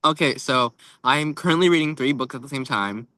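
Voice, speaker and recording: A man's voice: mid-range voice, a little fast, pushed and bright, no room sound. A young man co-hosting a casual book chat, blunt and emphatic, with "like" peppered through his sentences. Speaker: book podcast co-host.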